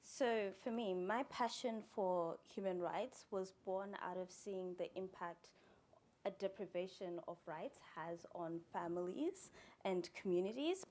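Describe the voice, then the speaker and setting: A woman's voice: mid-range voice, measured pace, a little mellow. A woman from Zimbabwe answering on a panel as a human rights advocate, composed and heartfelt.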